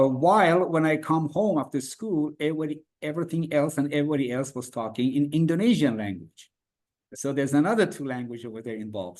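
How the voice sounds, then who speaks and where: A man from Iran, a native Farsi speaker, answering interview questions in halting English with many fillers and restarts. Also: mid-range voice, darkish tone. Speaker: guest.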